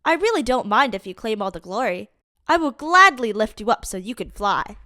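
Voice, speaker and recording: Lively woman reading a kids' story. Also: fairly high pitch, brisk, dry recording, studio quality. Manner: lively, expressive reading for kids. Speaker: children's book reader. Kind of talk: read-aloud storytime.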